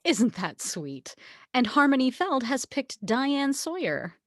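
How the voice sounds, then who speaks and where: A prim, concerned schoolteacher acted by a woman talks politely in a slightly high, lively voice. It is a dry, close table read of a comedy. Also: slow pace, no echo, heavily acted.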